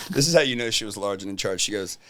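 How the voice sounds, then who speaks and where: A man co-hosting a podcast, telling crude stories in a deadpan, bragging way. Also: lowish voice, quick pace. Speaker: podcast co-host. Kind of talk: crude casual podcast banter.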